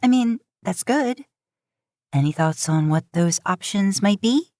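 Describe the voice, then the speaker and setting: A woman reader plays a caring middle-aged foster mother with a slightly dry edge. She talks in a gently probing way, with lively pitch movement, on a dry, close recording of a story. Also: unhurried, no room sound, close to an everyday voice. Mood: gentle concern. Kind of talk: fan fiction audio story reading.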